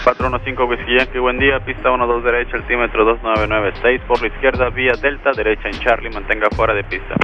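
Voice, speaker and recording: A man giving brisk, formulaic clearances as an air traffic controller over aviation radio. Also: mid-range voice, flat delivery, highs cut off, soft background music.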